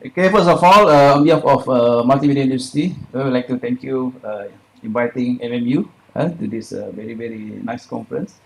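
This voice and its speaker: A man with a Malaysian accent giving a conference presentation, speaking haltingly with frequent hesitations and little tag words. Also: medium pitch, gravelly, rough recording. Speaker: keynote speaker, academic researcher.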